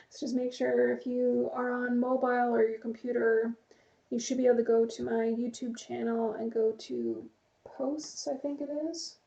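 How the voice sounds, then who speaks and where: A woman chatting casually to her live stream viewers, rambling in a relaxed way and reading the chat aloud. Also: fairly high pitch, unhurried, vocal fry, muffled recording.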